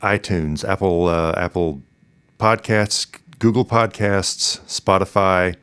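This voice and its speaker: A man hosting a podcast in a casual, easygoing, somewhat halting way. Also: low voice, steady tone, a bit bright, smooth. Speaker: podcast host. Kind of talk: casual interview and sign-off.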